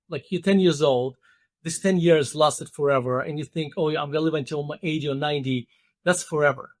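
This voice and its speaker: A man in his fifties, a podcast guest explaining ideas thoughtfully with many pauses and restarts. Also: fairly high pitch, dry recording. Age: 50s. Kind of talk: interview conversation.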